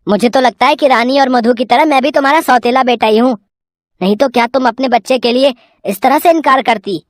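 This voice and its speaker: A woman's voice: high-pitched, fairly quick, no echo, clean studio sound, close to an everyday voice. A woman voicing a spoiled, selfish teenage son who is petulant, whiny and demanding. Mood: sulky. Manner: petulant, complaining.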